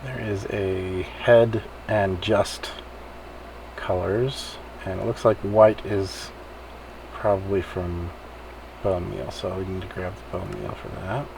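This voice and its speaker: A man's voice: sits low, fairly even intonation, slow pace, creaky, darkish tone. A man giving casual gameplay commentary, muttering his way step by step as he thinks aloud on a noisy home recording. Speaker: gamer hosting a server event.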